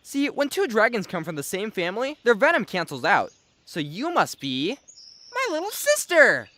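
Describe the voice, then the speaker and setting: A man's voice: high-pitched, huge pitch swings, moderate pace, bright, forceful tone, exaggerated voice. A man playing a carefree young male dragon in a fantasy dub, a friendly, enthusiastic big brother. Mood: thrilled. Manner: breezy, enthusiastic. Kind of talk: dubbed fantasy drama acting.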